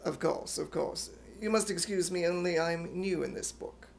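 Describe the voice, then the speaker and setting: A man voicing a suave, sly gentleman blackmailer with a mock-courteous manner and a put-on British accent, in radio comedy style. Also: on the high side, moderate pace, creaky, slight background noise. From United States. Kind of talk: 1950s radio comedy acting.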